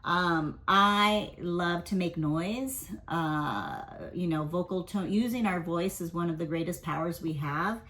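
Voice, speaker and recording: A woman's voice: fairly low pitch, unhurried, few pauses, a bit bright, slight background noise. A woman giving a casual weekly tarot and astrology reading. She speaks candidly, rambles, leans on fillers and swears offhandedly.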